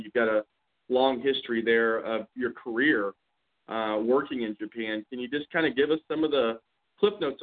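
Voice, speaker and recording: A man hosting a business conference call, talking in an upbeat, rambling way with plenty of fillers. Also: medium pitch. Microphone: phone. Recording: tinny phone line.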